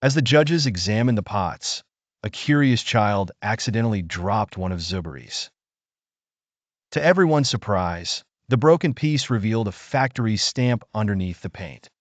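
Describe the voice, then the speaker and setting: A man narrating a scripted folktale in a measured, expressive storytelling manner with deliberate phrasing. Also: lowish voice, dry recording.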